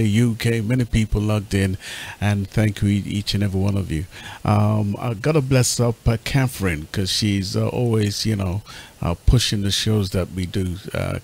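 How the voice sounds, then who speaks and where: A man hosting a radio talk show, giving appreciative, courteous shout-outs and sign-offs. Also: fairly low pitch. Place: online radio panel show.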